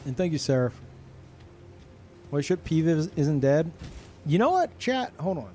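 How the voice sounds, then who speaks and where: A man's voice: a little high, very animated, a little slow, a little mellow, soft background music. A man in his thirties casually chatting and swearing as he reads out chat messages while streaming a game.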